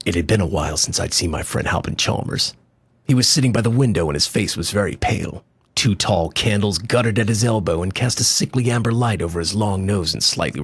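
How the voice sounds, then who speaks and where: A man's voice: lowish voice, slightly rough, dry recording. An actor playing a sombre man who narrates a weird horror tale in the first person for radio drama.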